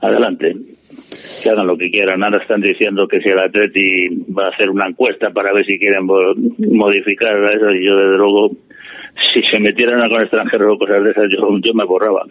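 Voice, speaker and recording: A man's voice: lowish voice, level tone, a little fast, dry recording, muffled recording. A man, a supporters' club president talking bluntly and plainly in a radio interview. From Madrid, Spain. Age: 80s. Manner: blunt, plain-spoken, opinionated.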